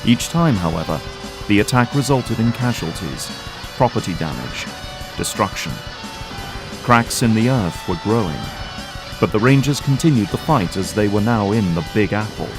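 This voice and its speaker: A man's voice: lowish voice, expressive, normal speed, over music. A man narrating an audio drama in a scripted, dramatic storytelling style.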